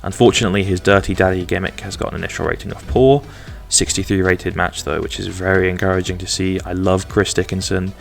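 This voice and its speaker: A man's voice: low-pitched, little pitch movement, fast talker, vocal fry, hardly any pauses, soft background music. A man casually recapping a wrestling sim game he is playing, chatty and rambling with self-deprecating asides. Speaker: gaming video host.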